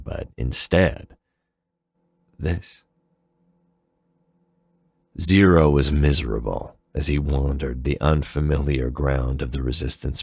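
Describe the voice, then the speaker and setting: A man's voice: rumbling low, dry recording, studio quality. A man narrating a dramatic story in a solemn, theatrical manner.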